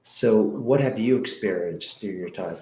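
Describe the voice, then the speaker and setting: Male interview host, low voice, earnest and halting, in an echoey room. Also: a little mellow, slight background noise. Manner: earnest, halting with many fillers. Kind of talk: conversational interview.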